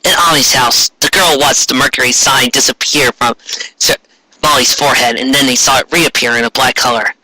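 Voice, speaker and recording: Theatrical man reading fan fiction, bright and dry. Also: medium pitch, fairly even intonation, brisk, husky, no room sound, low-quality recording.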